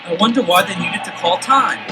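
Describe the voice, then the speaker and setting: Man playing an excitable, high-voiced teenage boy detective. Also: fairly quick, music in the background, lightly acted, low-quality recording. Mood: eager, curious. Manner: earnest, expressive, questioning. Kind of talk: 1970s children's audio adventure acting.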